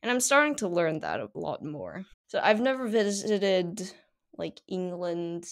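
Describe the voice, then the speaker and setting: A woman's voice: on the low side, expressive, unhurried. Teenage woman hosting a podcast. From South Korea. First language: Korean. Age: teens. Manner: chatty, many fillers. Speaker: podcast host. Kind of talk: casual solo podcast monologue.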